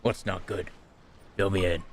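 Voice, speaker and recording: A man's voice: sits low, fairly even intonation, unhurried, slight background noise. A man giving casual gameplay commentary, blunt and sweary, often talking straight at the game. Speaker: gaming video creator.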